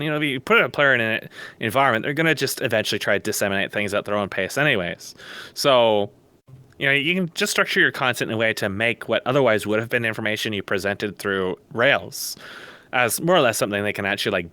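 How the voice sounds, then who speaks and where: Bright-voiced man, blunt podcast rambler. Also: on the low side, lively intonation, quick pace, dry recording. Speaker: podcast guest. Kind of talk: casual opinionated podcast discussion. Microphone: close mic on voice chat. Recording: voice call over internet.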